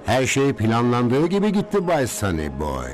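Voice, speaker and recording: A man's voice: medium pitch, huge pitch swings, moderate pace, faint music behind. A man voicing a greedy, wary, shady scientist in a dubbed cartoon, speaking in an anxious, cautioning way. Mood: sly.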